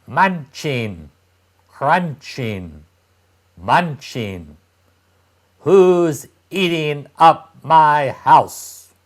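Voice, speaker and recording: Man as storybook witch, suspicious, chanting, drawn-out questioning, swooping pitch, very slow. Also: animated.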